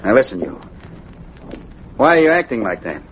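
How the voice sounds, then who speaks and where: An actor playing a bullying, smug gambling boss in an old radio crime drama, curt and threatening. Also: male voice, mid-range voice, big pitch swings, normal speed, lightly acted. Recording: muffled, noisy old radio recording.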